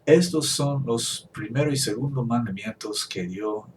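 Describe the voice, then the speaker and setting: Non-native man preaching, slow scripture reading. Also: mid-range voice, recorded from a distance, slight background noise. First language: English. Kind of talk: Bible teaching.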